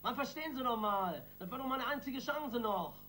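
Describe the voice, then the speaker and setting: A man's voice: extremely high pitch, expressive, normal speed, sharp tone, exaggerated voice. An angry young criminal in a German radio crime drama. He is desperate and slangy, protesting in an agitated way, over a faint background hum. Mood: desperate, pleading.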